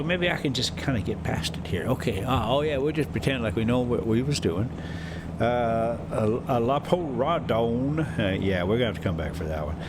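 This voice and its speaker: Guy reading a story out loud, really animated, jokes when he trips on words, driving noise behind him. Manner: halting over hard words, self-mocking, jokey. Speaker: story reader and host. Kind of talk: storybook reading with casual folksy asides. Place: inside a moving diesel motorhome on a city drive. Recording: clear vehicle rumble behind voice. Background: motorhome engine and road noise.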